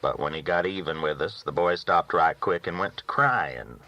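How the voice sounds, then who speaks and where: Man, audiobook storyteller, sharp bright tone, folksy rural phrasing, slightly muffled lo-fi sound. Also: deep voice, moderate pace. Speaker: audiobook reader. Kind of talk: animated first-person storytelling, reading a novel.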